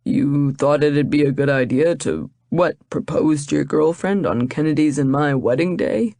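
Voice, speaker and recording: A woman's voice: low voice, normal speed, warm tone, dry recording. A woman voicing a big groom who teases his friend in a dry, wry way.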